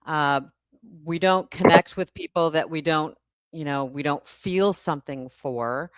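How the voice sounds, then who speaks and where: A woman hosting a casual live-stream chat, speaking haltingly with pauses mid-phrase. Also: low-pitched, dry recording, rough recording. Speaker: host and publisher.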